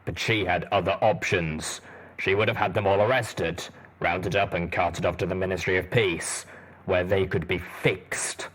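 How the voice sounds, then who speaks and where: A man voicing a huge, stern armored ranger pony in an audiobook, with a rumbling voice and a blunt, deliberate manner. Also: fairly even intonation, a bit bright, breathy edge, heavily acted. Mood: grave. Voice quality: deep, rumbling.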